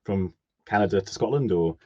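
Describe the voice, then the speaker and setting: Deep-voiced man hosting casual chat. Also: normal speed, a little mellow, highs cut off. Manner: friendly, rambling, hesitant with fillers. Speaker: live stream host, interviewer. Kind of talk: casual interview questions.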